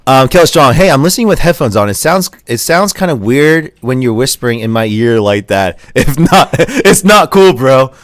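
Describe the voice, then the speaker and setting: Young man, from Pennsylvania, lively pitch, live-stream host, laughs a lot. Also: normal speed, hardly any pauses, no room sound, clean studio sound. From Pennsylvania, USA. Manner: laughs often, reads viewer comments aloud. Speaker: podcast host. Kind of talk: casual live-stream chat.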